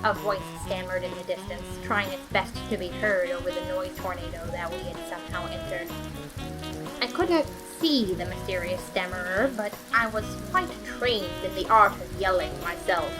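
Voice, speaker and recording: A woman reading a fan story aloud as narrator, with lively, playful storytelling. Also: on the low side, normal speed, over music. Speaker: podfic reader.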